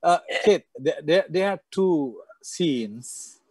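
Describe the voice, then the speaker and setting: A middle-aged man from Bali with an Indonesian accent, interviewing reflectively and haltingly over a video call on an earphone mic. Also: a little high, animated, darkish tone, breathy edge, dry recording. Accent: Balinese Indonesian. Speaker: interviewer, moderator. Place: book-lined room, indoors, video call. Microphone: earphone headset mic.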